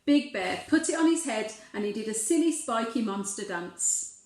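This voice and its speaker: A woman reading a storybook expressively to young children, pausing to put gentle questions to the listeners. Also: a little high, lively intonation, echoey room.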